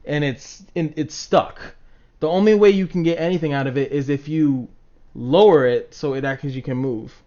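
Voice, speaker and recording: Man, slightly high voice, casual livestream host, rambling, informal, swears freely, muffled lo-fi microphone. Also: moderate pace, mellow. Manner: informal, swearing, acts out imagined reactions. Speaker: livestream host talking to chat viewers. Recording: dull, lo-fi microphone sound.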